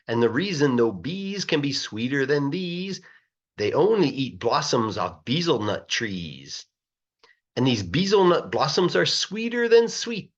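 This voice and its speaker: A man reading a children's rhyming story aloud in a playful, rhythmic, expressive way, like a teacher. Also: medium pitch, lo-fi sound. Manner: animated, playful, rhythmic verse delivery.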